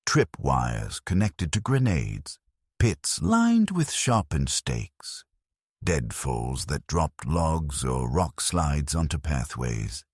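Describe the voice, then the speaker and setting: A man narrating a dramatic scripted first-person war story as a grim, war-weary soldier, in a grave, measured, deliberate delivery. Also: extremely low pitch, huge pitch swings, dry recording, clean studio sound. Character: grim war-weary penal legion soldier recounting battles. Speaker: narrator of a fan lore channel.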